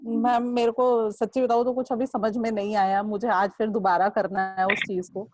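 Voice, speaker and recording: A woman's voice: medium pitch, flat delivery, normal speed, darkish tone, no echo, clean studio sound. A young woman student asking her teacher a personal question on a video call, hesitant, with many fillers, mixing Hindi and English. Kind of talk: asking a question in an online class.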